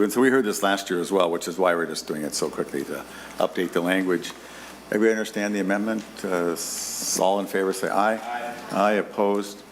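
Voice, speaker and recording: A man with a fairly low voice runs a legislative hearing. He talks in a brisk, businesslike way and keeps nudging speakers to stay on time. A faint hum of room ambience sits behind him. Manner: brisk, hurries testifiers along. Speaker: committee chair.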